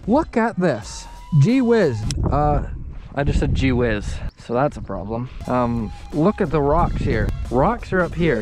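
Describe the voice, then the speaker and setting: A young man, filming himself from a small boat on a lake and chatting casually about his catches in a goofy, self-mocking way. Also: medium pitch. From Canada. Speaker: fishing vlogger. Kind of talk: casual self-filmed commentary. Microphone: action camera.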